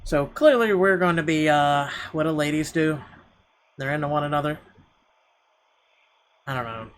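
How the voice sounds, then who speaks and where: A man's voice: on the high side, normal speed, a bit bright, slight background noise. A man hosting a gaming video, reading visual novel narration dramatically and breaking into irreverent, sweary asides. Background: game music.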